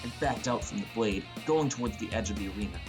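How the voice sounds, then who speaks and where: A man's voice: fairly low pitch, quick pace. A man reading scripted first-person fantasy narration as an audiobook narrator. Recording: music bed under voice. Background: prominent plucked guitar music.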